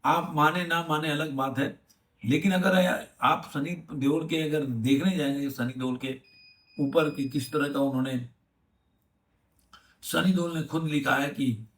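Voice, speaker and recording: A man giving unscripted film news commentary, halting and repeating himself as he rephrases. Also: on the high side, fairly bright tone. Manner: halting, repetitive, rephrasing as he goes. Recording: echoey room, distant microphone.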